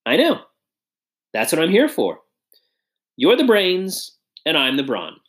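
A man plays a strong young man, confident and keen. He sounds eager and upbeat, and the pitch moves in lively swings. It is told like a storybook. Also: slow pace, heavily acted.

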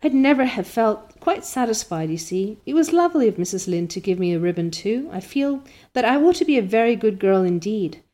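A woman's voice: medium pitch, animated, a little fast, few pauses, mellow, close to an everyday voice. A woman voicing an imaginative, rapturous orphan girl who gushes with breathless enthusiasm, reading a storybook aloud.

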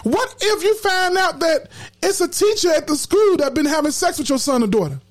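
A woman's voice: extremely high pitch, animated, normal speed. A woman hosting a podcast in casual, colloquial talk, emphatic and preachy, repeating words when fired up. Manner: colloquial slang. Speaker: podcast host.

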